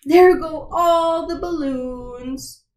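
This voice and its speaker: Storybook little girl, read by a woman: affectionate, cheerful, expressive, high voice, slow clear phrasing for toddlers. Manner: animated, expressive read-aloud delivery.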